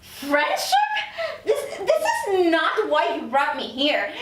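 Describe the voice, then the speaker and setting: A proud, incredulous career woman acted by a woman in a drama read-through. Her voice is high and edged with sarcasm. It sounds roomy and sits well back from the mic. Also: big pitch swings, takes it slowly, fairly bright tone, exaggerated voice. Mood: outraged. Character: proud, indignant career woman newly arrived in hell. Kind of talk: script table read. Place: echoey room, recorded from off. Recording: roomy, distant mic, faint noise.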